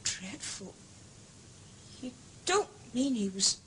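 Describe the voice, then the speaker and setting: A woman's voice: fairly high pitch, sing-song, slow pace, a little hiss or hum. An actress playing a guarded, uneasy married woman in a radio drama, speaking politely. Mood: anxious.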